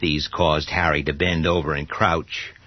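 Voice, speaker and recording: A man narrating a scripted horror story in a dramatic, deliberate manner. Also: a bass voice, little pitch movement, no echo. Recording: dull audio with faint music.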